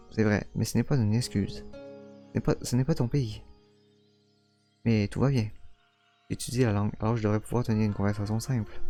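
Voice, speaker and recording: A man's voice: fairly low pitch, warm tone, close to an everyday voice. A man voicing an earnest young foreign woman in a visual novel who stammers when flustered. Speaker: streamer reading a visual novel. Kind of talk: let's play voice reading.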